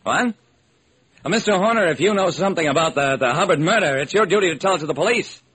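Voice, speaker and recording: An actor playing a brisk, determined hard-boiled male private detective, narrating and acting in a classic radio crime drama. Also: a little high, expressive, a bit bright, heavily acted, poor audio. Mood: urgent, insistent. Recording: old, slightly rough radio recording.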